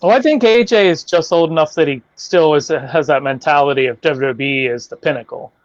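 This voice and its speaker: A man with a fairly high voice gives blunt takes on a casual podcast, swearing now and then. The sound is dry and a little muffled, as if the audio was cut down. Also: brisk, no room sound. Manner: animated, opinionated, swears casually. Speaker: podcast co-host. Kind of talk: casual wrestling chat.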